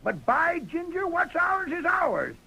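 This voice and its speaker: An actor playing a stubborn, folksy old prospector with a rural American West accent in a 1930s radio western. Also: male voice, huge pitch swings, slow pace, vocal fry, sharp tone, full character voice. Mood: stubborn, suspicious. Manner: folksy frontier slang, ornery. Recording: noisy, rumbly 1930s radio recording.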